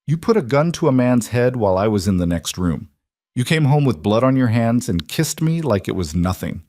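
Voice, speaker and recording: A man's voice: mid-range voice, very animated, moderate pace, warm tone, no room sound, clean studio sound, natural voice. A man voicing a defiant, hurt woman in an audiobook drama, guarded, stubborn and accusing. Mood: hurt anger. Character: defiant, hurt pregnant wife of a mafia boss.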